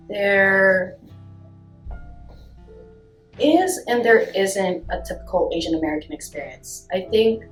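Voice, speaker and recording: A woman's voice: mid-range voice, roomy sound, poor audio. A woman reflecting casually in a personal interview.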